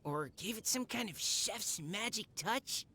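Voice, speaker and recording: A friendly young adventurer in a video game. The medium-pitched voice moves up and down a lot, expressive and curious, full of enthusiasm. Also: female voice, a little slow, breathy edge, exaggerated voice. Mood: surprised. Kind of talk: video game character acting.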